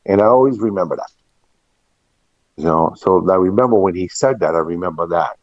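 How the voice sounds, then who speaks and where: A man's voice: sits low, animated, brisk. A middle-aged man telling personal stories in a casual interview, reflective and anecdotal, often quoting past conversations. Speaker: restaurant and catering veteran being interviewed. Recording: muffled, echoey room recording.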